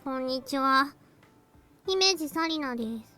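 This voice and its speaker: A woman playing a polite, soft, girlish character in a Japanese visual novel. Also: high-pitched, expressive, moderate pace, music in the background. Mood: shy, polite.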